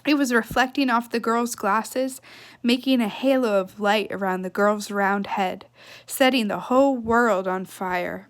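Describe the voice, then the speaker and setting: Higher-voiced woman reading a story aloud. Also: a little slow. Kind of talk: reading a children's novel aloud with casual asides.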